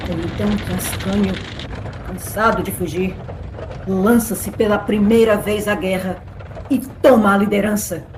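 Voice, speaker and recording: A woman's voice: mid-range voice, fairly even intonation, a little slow, echoey room, slight background noise, poor audio. A woman with a Brazilian accent giving dramatic scripted narration of an epic tale. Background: music.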